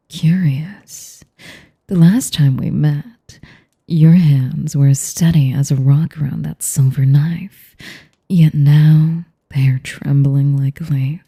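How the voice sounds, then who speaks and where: A woman playing an elegant, manipulative vampire in an intimate audio roleplay, with a whispery voice and a slow, teasing, mock-polite manner. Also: sits low, mellow, full character voice. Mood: mock tenderness. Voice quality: breathy, whispery. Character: sadistic, elegant, manipulative female vampire noble.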